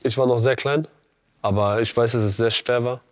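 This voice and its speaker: A man in his late twenties from Afghanistan, a footballer calmly explaining things in a conversation. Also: medium pitch, moderate pace. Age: 20s. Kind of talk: conversational interview. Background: guitar music.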